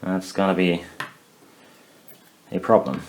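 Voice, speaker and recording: A man casually narrating a hands-on DIY build, haltingly thinking aloud as he works. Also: sits low, a little mellow, a little hiss or hum. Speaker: DIY maker demonstrating a build.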